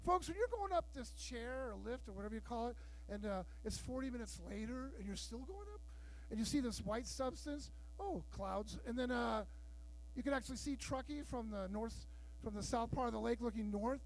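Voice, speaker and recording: High-voiced man doing bawdy stand-up. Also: expressive, moderate pace, poor audio. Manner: loud, bawdy storytelling with acted-out dialogue. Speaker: stand-up comedian. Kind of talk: stand-up comedy set. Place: live show room with audience.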